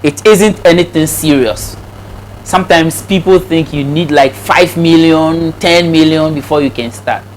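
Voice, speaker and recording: A man's voice: fairly high pitch, measured pace, slight background noise, rough recording. A man with a Ghanaian accent answering casually as an interview guest and explaining things like a trainer. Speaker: interview guest, snail farming trainer and channel owner.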